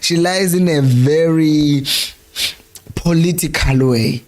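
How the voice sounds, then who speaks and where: A South African man hosting a fan live stream, talking casually about football, laughing often, his voice going hoarse. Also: fairly high pitch, slow pace, darkish tone. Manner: chatty, laughs often, switches between languages mid-sentence. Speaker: fan channel host.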